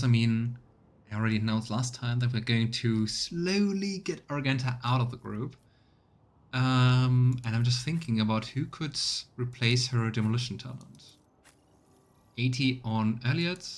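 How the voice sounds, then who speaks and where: Man thinking aloud over a game. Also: mid-range voice. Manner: thinks aloud, reads item text in quick bursts. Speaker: let's play streamer. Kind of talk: casual gameplay commentary.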